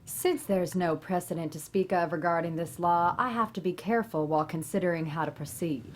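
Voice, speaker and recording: A woman playing a composed, authoritative judge in her seventies, speaking deliberately at a script table read. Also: lowish voice, a little hiss or hum, close to an everyday voice. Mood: deliberate, matter-of-fact. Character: composed, deliberate 71-year-old female Texas judge.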